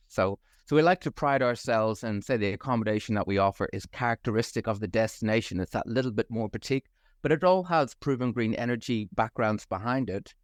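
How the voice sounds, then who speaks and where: A middle-aged man from Northern Ireland with a softened Belfast accent, speaking as a guest in a podcast interview, enthusiastic and fluent with a few fillers. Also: medium pitch, no room sound, studio quality. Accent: Northern Irish, softened by years abroad. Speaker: travel company managing director, guest.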